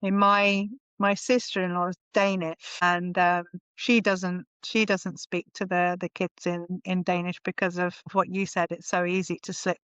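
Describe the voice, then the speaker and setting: A woman's voice: on the low side, level tone, normal speed, few pauses, fairly bright tone, clear, resonant tone, no room sound, low-quality recording. A woman guest on a podcast, chatting casually and thinking aloud with frequent restarts. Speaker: podcast guest, marketing consultant. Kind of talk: casual conversation.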